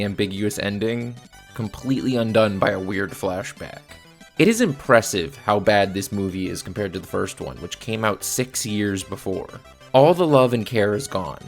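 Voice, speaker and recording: A man's voice: lowish voice, moderate pace. A man narrating a casual, scripted movie review in a blunt, sometimes profane way. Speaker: video reviewer. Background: faint background music.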